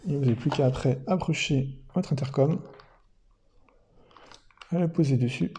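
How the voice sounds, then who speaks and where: A man's voice: fairly high pitch, normal speed, mellow. A man presenting a product unboxing in casual, unscripted commentary, thinking aloud somewhat hesitantly.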